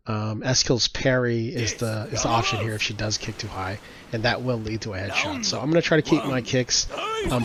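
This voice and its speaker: A congested man casually commentating his own game matches, explaining his plans with lots of fillers. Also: medium pitch. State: congested, slightly stuffy.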